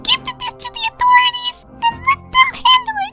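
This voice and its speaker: A woman voicing an earnest, protective friend with a squeaky, childlike voice in a film drama dub. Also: little pitch movement, normal speed, loud music behind the voice. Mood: worried, tender.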